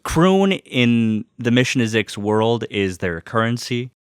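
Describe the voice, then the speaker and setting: A man's voice: medium pitch, sing-song, slow pace, clear, resonant tone, no echo, studio quality, soft background music. A man giving a casual, enthusiastic monologue as host, speaking in an earnest, gushing way and swearing casually.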